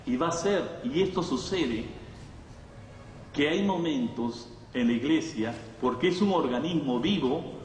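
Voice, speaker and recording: Male preacher, Latin American Spanish accent, slightly high voice; storytelling sermon with emphatic repetition, picked up at a distance in a hall. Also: lively intonation, unhurried. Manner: emphatic, repeats phrases, rhetorical questions. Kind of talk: sermon, conversational storytelling to a congregation. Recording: echoey hall, distant mic, faint noise.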